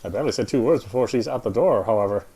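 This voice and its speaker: A man's voice: on the low side, rapid-fire, dark tone, slightly rough. Man reading a first-person story aloud. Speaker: reader narrating a visual novel playthrough.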